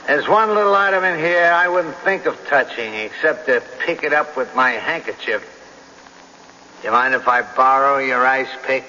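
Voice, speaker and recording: An actor playing a tough, sardonic police detective with a clipped, needling, streetwise manner, in 1970s radio drama style. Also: male voice, mid-range voice, moderate pace, sharp tone. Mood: menacing, sardonic. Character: hard-boiled male police detective, tough, sardonic, relentless. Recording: dull, noisy old radio recording, reverberant hall.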